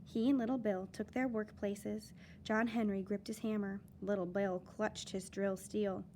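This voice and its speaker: Expressive woman reading a picture book. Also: mid-range voice, unhurried, a little hiss or hum. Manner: expressive, lively storytelling with dramatic emphasis. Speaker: teacher reading aloud. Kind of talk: children's picture book read-aloud.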